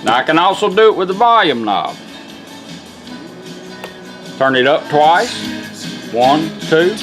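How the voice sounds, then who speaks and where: Guy casually showing how a gadget works, kinda high voice, plain talking, music playing behind him. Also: sing-song, slow, fairly bright tone. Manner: folksy, plain-spoken. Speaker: car radio restorer demonstrating a product. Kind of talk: hands-on product demonstration, casual. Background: music from a car radio.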